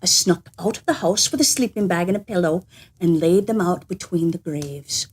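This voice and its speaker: A woman telling stories in a theatrical, suspenseful and deliberate manner, as a host would. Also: on the low side, husky. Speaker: storyteller and host. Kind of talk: animated scripted storytelling.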